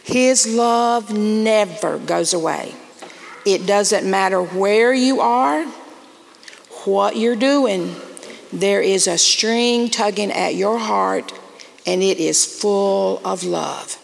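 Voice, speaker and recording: A woman giving a gentle, coaxing children's message and prayer in church. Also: mid-range voice, slow, vocal fry. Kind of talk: children's sermon. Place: church sanctuary. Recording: reverberant church sanctuary with faint noise.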